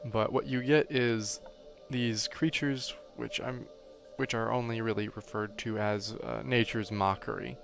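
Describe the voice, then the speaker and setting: A man's voice: mid-range voice, fairly even intonation, a little slow, gravelly, faint music behind. A young man giving analytical video essay commentary in academic wording, with casual asides and rhetorical check-ins. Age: young adult.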